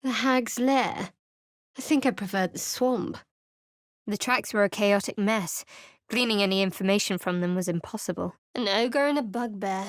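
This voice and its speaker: A woman's voice: mid-range voice, moderate pace, no room sound, close to an everyday voice. A woman playing a guarded, sardonic young female cleric in a fantasy video game, speaking in a cool, dry, clipped way. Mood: solemn, narrating.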